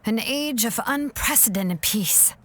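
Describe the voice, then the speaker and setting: A woman's voice: on the high side, expressive, measured pace, fairly bright tone, a little hiss or hum. A woman playing an earnest, sober young tribeswoman in a video game, speaking somewhat formally. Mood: solemn, hopeful.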